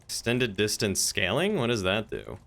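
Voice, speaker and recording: A man's voice: fairly low pitch, wildly swooping pitch, normal speed, creaky, a bit bright. A man chatting casually on a game live stream in an easygoing way, with vehicle noise from the game behind him.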